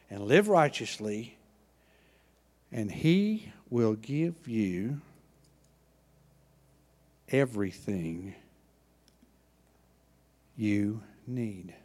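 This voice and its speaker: A man with an Oklahoma accent preaching a sermon in a church, with a folksy manner, dropping low and quiet and then rising loud. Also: lively intonation, drawn-out words, pauses often, long reverb tail. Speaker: pastor.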